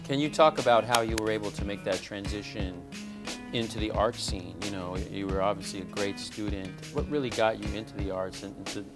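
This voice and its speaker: A man's voice: lowish voice, fairly quick, over loud music. A man hosting an interview, asking the guest friendly, plain questions. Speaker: interviewer and show host. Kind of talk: interview questions and show intro.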